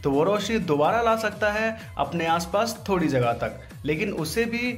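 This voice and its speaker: A man's voice: high-pitched, lively intonation, moderate pace, soft background music. A man hosting a voice-over breakdown in an informal, slangy way, as commentary for viewers. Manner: informal, crude slangy joking. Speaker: video host.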